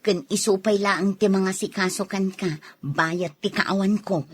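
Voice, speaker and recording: A woman's voice: mid-range voice, fairly even intonation, a little slow, breathy edge. A woman playing a caring, practical wife in an audio drama, speaking with an Ilocano accent. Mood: concerned. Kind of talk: dramatized radio-style story acting.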